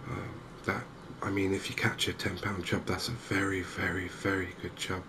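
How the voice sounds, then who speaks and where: Low, creaky, soft-spoken English man. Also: little pitch movement, moderate pace, background noise. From England. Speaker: gaming video host. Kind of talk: soft-spoken ASMR game commentary.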